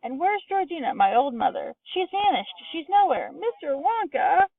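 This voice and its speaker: Woman voicing a frantic mother, shrill, wailing, very high, lively, thin phone-like sound. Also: moderate pace. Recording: distant tinny phone-like sound.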